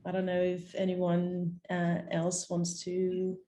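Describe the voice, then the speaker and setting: A woman's voice: fairly low pitch, completely flat delivery, slow pace, warm tone, a little hiss or hum. A woman moderating an online panel, thanking speakers in a friendly way.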